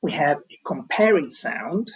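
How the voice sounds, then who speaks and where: Husky man giving a hesitant tutorial. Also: a little high, animated, a little slow. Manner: hesitant, many ums and restarts. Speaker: language teaching tutor. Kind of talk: explaining an online tutorial.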